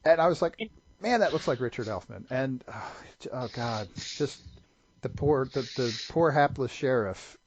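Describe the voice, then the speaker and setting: A man's voice: medium pitch, animated, moderate pace, slight background noise. A man co-hosting a podcast, talking casually about a movie and often stumbling over repeated words as he starts a sentence. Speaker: podcast co-host. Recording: dull lo-fi podcast audio.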